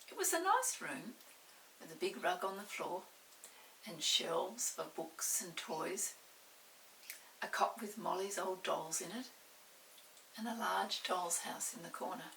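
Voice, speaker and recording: A woman reads a children's storybook expressively. Her voice is bright and at times turns breathy. The mic sounds slightly distant, with a faint hiss underneath. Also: medium pitch.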